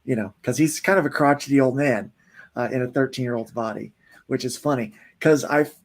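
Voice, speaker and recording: A man's voice: medium pitch, fairly quick. A man in his forties, a comic book writer chatting casually as an interview guest, rambling with lots of fillers. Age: 40s.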